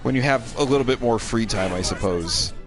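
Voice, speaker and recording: A man's voice: medium pitch, fairly quick. A man in his thirties casually rambling and thinking aloud as he commentates on a video game stream. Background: game music.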